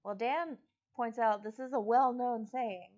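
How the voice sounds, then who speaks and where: Wry woman co-host, higher voice, slightly muffled. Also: measured pace, darkish tone. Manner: wry, teasing. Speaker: co-host relaying viewer comments. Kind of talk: casual livestream banter.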